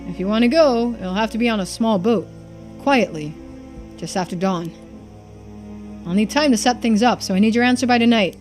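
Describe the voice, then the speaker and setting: A woman's voice: on the high side, moderate pace, pauses often, a little mellow, close to an everyday voice. A confident young man from a story game, voiced by a woman. He is laid-back and shrewd, and talks in a composed, matter-of-fact way. Game music plays behind the voice. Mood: serious, composed. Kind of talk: voicing game dialogue in a playthrough.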